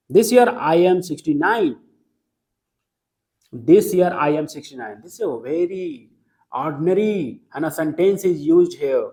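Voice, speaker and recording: A man teaching a poem line by line, switching between Hindi and English and often checking that students follow. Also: on the high side. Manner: mixes Hindi and English, checks understanding, repeats key lines. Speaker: literature teacher. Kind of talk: classroom lecture explaining a poem line by line.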